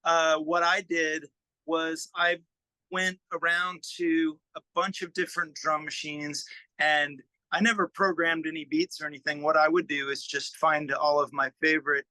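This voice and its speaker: A man with a fairly high, notably bright voice, recorded dry and close to room-free. He talks casually as a musician being interviewed, pausing and working out his thoughts as he explains. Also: flat delivery, dry recording, rough recording. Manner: halting, thinking aloud while explaining.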